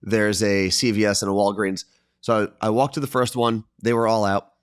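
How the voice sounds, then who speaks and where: A man's voice: fairly low pitch, level tone, normal speed, smooth, dry recording. Laid-back male co-host, easy hesitant chat, dry close sound. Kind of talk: casual chat. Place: staying in Florida.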